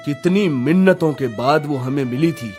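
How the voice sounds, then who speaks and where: A man's voice: fairly high pitch, normal speed, a little mellow, faint music behind, close to an everyday voice. A man playing a grieving king and father in a cartoon story, speaking mournfully. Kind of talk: Hindi cartoon story acting.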